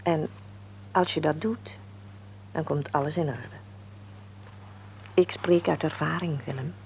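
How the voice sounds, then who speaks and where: An actress playing a devout, gentle older mother in a radio drama, speaking earnestly and haltingly as she searches for words. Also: sits low, fairly even intonation, creaky, a little hiss or hum, full character voice, poor audio. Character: devout, gentle old mother counselling her stubborn son.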